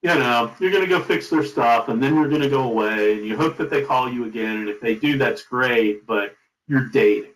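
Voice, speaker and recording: A middle-aged man being interviewed as a company founder, giving advice in an emphatic, deliberate conversational way. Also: medium pitch, fairly bright tone. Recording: roomy, echoing sound.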